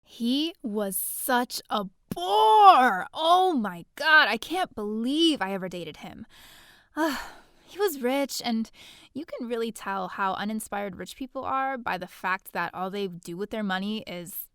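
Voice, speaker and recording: A high, closely recorded, dry voice tells a space-opera story as a chatty young heroine. She is theatrical and easily exasperated, sardonic in her asides and bookish at heart. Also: lively intonation, measured pace, fairly bright tone, smooth, no echo. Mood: exasperated disdain. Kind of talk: first-person scripted audio drama narration.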